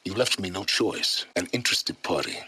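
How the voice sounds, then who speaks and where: A self-serving, secretive scholar from a video game. His voice is heavily husky and very expressive in pitch, recorded close and dry. He speaks in a measured, articulate way with cool condescension. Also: no room sound, full character voice, rough recording. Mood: measured, guarded. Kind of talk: dramatic video game voice acting.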